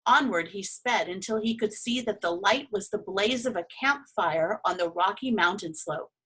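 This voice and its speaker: A woman reading a children's story as expressive narration, lingering on phrase endings for emphasis. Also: mid-range voice, moderate pace, breathy edge, rough recording. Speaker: storybook reader.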